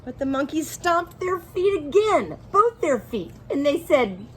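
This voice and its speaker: A woman reading a picture book aloud to young children in an expressive way, engaging her listeners as she goes. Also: high voice, a little hiss or hum. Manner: expressive, pauses to ask listeners questions. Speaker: children's storybook reader.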